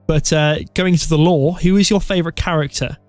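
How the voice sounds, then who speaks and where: A man with a fairly high voice hosts a casual interview on his channel. He stays polite and mostly chimes in with short agreeing replies, with faint music playing quietly behind him. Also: fairly even intonation, fairly quick. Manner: polite, gives frequent brief agreeing replies.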